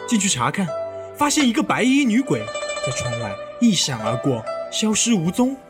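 A man's voice: sits high, sing-song, moderate pace. A man playing an earnest, well-bred young master, an official's son, in a period audio drama. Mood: grave, intriguing. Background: music.

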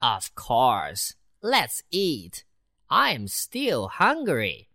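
A woman voicing a small, lively city mouse in a children's storybook, very high and squeaky. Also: very slow, heavily acted. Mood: cheerful. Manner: very high, excited, bossy whisper-to-squeal.

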